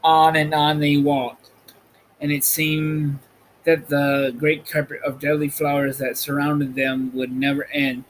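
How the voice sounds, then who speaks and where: A man reading a children's storybook aloud as a podcast host, in an amateur, slightly halting way. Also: a little high, little pitch movement, a little hiss or hum, poor audio.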